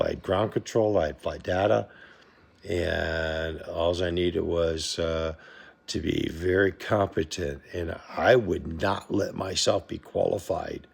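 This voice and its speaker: Emphatic man with a gravelly, creaky voice. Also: lowish voice. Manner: emphatic, repetitive, halting. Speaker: former Navy air traffic controller speaking to viewers. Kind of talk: impassioned personal monologue.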